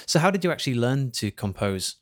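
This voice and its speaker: A man hosting a podcast, reading a smooth scripted intro and then asking relaxed, friendly interview questions. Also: mid-range voice, brisk. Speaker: podcast host.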